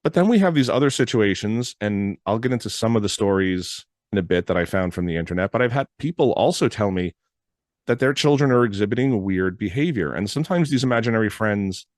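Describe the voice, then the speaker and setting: A man from New York talks through a solo podcast episode in a loose, rambling way, filling his pauses with "uh." His very deep voice sounds hoarse and froggy. The recording is close and dry, with no room sound. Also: dry recording. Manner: rambling, loose, with frequent "uh" fillers. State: hoarse, froggy from recent illness. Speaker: podcast host. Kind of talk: casual solo podcast monologue.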